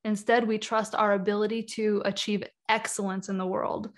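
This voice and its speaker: A woman's voice: mid-range voice, level tone, moderate pace. A woman in her thirties speaks as a guest in a video-call interview from her home, talking over a webcam. She explains ideas carefully and at length, filling her sentences with "like" and "um". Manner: thoughtful, explains at length with many "like" and "um" fillers. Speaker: guest author on energy healing. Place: home room, indoors.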